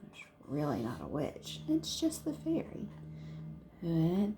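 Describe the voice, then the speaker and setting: A woman reads a children's story aloud in the manner of a primary teacher. Her delivery is unhurried and expressive, with animated intonation, and she explains things simply for young listeners. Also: sits low. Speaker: second-grade teacher.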